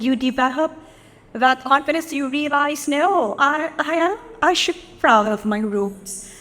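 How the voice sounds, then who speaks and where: Reflective woman moderating in a hall. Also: a little high. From Philippines. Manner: reflective, hesitant, many fillers. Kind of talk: moderating a panel discussion. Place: conference hall. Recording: long hall reverb.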